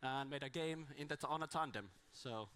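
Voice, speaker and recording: A man's voice: medium pitch, brisk, a bit bright, clear, resonant tone. A man with as his giving an informal, self-deprecating conference talk on a handheld mic in a small room, his voice strained from talking too much. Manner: self-deprecating, rambling with restarts. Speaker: conference speaker.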